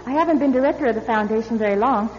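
An actress playing an earnest, polite, enthusiastic charity director in a radio drama, gently insistent in manner. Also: female voice, on the high side, racing, slight background noise, natural voice. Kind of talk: classic radio drama acting. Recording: dull radio sound, long reverb.

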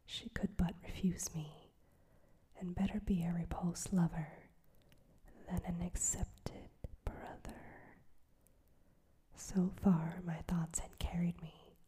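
A woman reading a story aloud in a slow, hushed, even whisper. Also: on the low side, breathy edge. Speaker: narrator.

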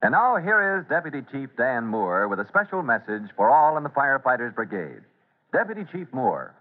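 A man's voice: medium pitch, sing-song, normal speed. A man narrating an old radio adventure serial as its announcer, in a dramatic style. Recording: thin, tinny old radio recording.

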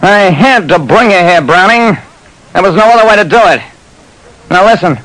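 An actor playing a big, urgent gambling boss in an old radio drama, forceful and commanding, on a noisy recording. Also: male voice, sits high, expressive, normal speed. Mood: desperate, commanding. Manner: forceful, commanding, pleading his innocence.

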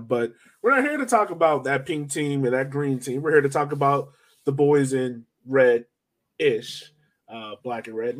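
A man hosting a sports podcast in a casual, chatty way, often rambling, hedging and repeating himself as he goes. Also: medium pitch.

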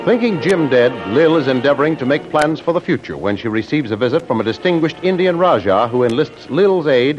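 A man narrating an old radio serial as announcer, formal and dramatic. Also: medium pitch. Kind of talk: vintage radio scripted narration. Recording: muffled old radio recording.

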